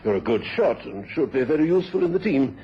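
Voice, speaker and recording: An actor playing a genteel but stern country squire in a radio drama, courteous and hesitant, with polite hems. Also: male voice, medium pitch, sing-song, fast. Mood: stern, uneasy. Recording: dull, noisy old radio recording.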